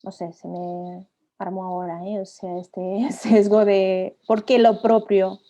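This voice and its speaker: A woman's voice: mid-range voice, little pitch movement, slow pace, mellow. A woman who is a native Portuguese speaker, co-hosting a casual discussion. She speaks tentatively and searches for her words. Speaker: discussion co-host. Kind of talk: casual conversational dialogue.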